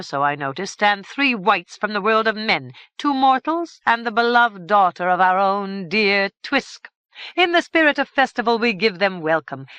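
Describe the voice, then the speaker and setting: A stately fairy king who makes formal pronouncements in a slow, measured way, put on by a woman reader. The voice rises and falls in wide swings, and the recording is close and dry. It sounds like a storybook read aloud. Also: mid-range voice, bright, forceful tone, clear, resonant tone, no room sound, pitched above the performer's own voice. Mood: solemn. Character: stately old fairy king, grave and sententious.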